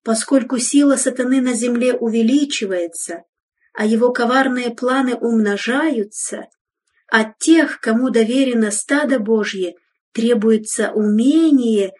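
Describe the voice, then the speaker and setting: Slow, husky woman reading solemnly. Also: on the high side, a little mellow, far from the mic. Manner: solemn, measured, exhortative. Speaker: reader of devotional text. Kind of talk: scripted devotional reading.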